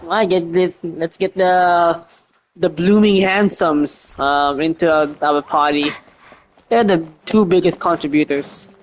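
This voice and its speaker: A man's voice: a little high, steady tone, measured pace, low-quality recording. A man giving casual, slangy, reactive commentary as a game streamer.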